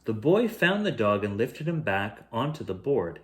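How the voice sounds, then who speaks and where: A man reading a children's picture book aloud as a bedtime storyteller, in a measured, expressive way. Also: on the low side. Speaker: bedtime story reader, host.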